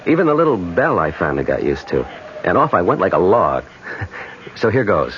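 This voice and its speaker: A man's voice: low voice, very animated, moderate pace, slight background noise. An actor playing a brooding, determined man on a lonely quest in a radio drama. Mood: resolute, friendly. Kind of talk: 1970s radio drama acting with introspective narration. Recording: dull vintage radio recording with music.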